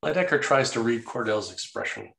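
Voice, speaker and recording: A man's voice: mid-range voice, animated, fairly quick, creaky, fairly bright tone. A man reading stage directions as the narrator of a screenplay table read.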